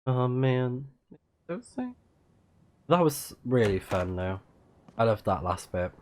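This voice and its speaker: A man's voice: medium pitch, very animated, extremely slow. A man livestreaming a video game, giving casual commentary and reading game text aloud. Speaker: game streamer.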